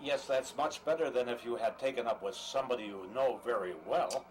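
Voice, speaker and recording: A man's voice: mid-range voice, a little fast, close to an everyday voice, poor audio. A man playing a jealous, menacing betrayed husband in an audio drama, sarcastic and needling. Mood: bitterly sarcastic. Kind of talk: radio-play style dramatic acting. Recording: faint background noise.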